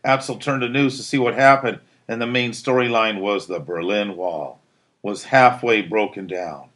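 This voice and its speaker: Theatrical male storyteller with a bright, forceful voice. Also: fairly low pitch, normal speed. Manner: theatrical, emphatic delivery. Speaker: teacher reading students' story aloud. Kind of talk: dramatic story narration.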